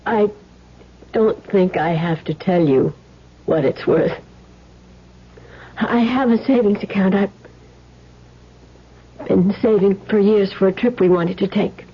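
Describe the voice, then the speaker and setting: Husky, strained, defiant wife. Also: female voice, medium pitch, takes it slowly, pauses often. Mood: strained, firm. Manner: strained, earnest, indignant. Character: anxious but defiant wife protecting her family. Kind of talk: radio drama acting. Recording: dull old-time radio recording.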